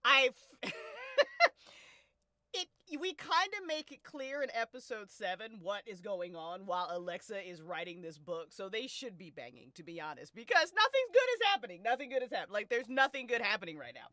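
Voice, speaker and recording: A woman's voice: mid-range voice, sing-song, moderate pace, bright, forceful tone, dry recording, clean studio sound. A woman hosting a casual live commentary, effusive and repeating phrases for emphasis. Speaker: show creator and host.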